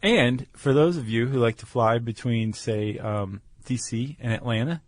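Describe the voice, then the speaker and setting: A man co-hosting a podcast, explaining things in an informal, conversational way with loose analogies and a creaky, gravelly voice. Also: medium pitch, unhurried, no room sound. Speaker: podcast co-host. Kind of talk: casual explanatory chat.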